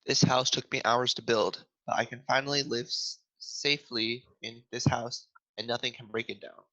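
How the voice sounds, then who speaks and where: A man's voice: mid-range voice, steady tone, normal speed, sharp tone, natural voice. A man playing little storybook pig brothers in an amateur script read over a video call. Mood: satisfied. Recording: muffled video call audio.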